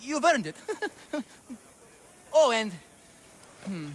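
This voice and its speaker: High-pitched, flustered, shifty husband. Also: male voice, big pitch swings, very slow, a little hiss or hum, exaggerated voice. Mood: showy, wheedling. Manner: flustered, theatrical, hedging. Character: timid, shifty, eager-to-please husband handing out a prize. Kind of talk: video game cutscene acting.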